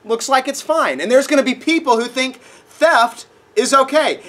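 A young man preaching a sermon in a dark auditorium, a little nasal from a cold, animated and acting out voices in his stories. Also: very high voice. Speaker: pastor. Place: dark auditorium with projected screen.

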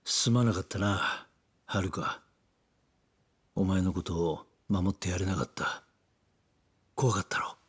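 An actor playing a weary, gruff middle-aged ex-detective in a video game, earnest and concerned. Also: male voice, sits low, slow, pauses often, a bit bright, dry recording, heavily acted. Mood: gentle remorse. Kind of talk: dramatic video game acting.

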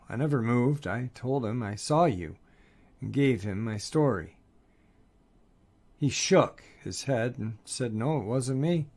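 A man narrating listeners' first-person encounter stories in a steady, measured read. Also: mid-range voice.

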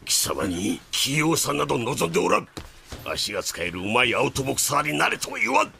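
An actor playing a stern, gruff older male boxing coach in an anime, barking orders in clipped old-man speech. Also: medium pitch, wildly swooping pitch, a little fast, bright, forceful tone, a little hiss or hum, heavily acted. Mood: lecturing. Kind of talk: anime dub acting. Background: background music.

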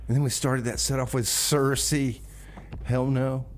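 A man's voice: mid-range voice, little pitch movement, unhurried. Laid-back radio man over audible noise. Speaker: community radio music show host. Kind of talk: casual radio DJ talk, announcing songs and local events.

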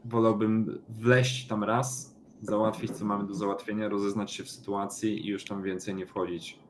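A man's voice: lowish voice, little pitch movement, normal speed, a little hiss or hum. A man playing a tabletop role-playing game, talking through plans in character.

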